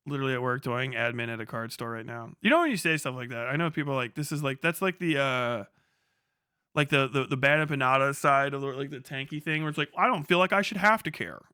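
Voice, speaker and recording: A man's voice: medium pitch, bright, forceful tone, smooth, no echo, clean studio sound. A man hosting a livestream, ranting casually and reading chat with profane sarcasm, often acting out mock exchanges. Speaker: livestream host.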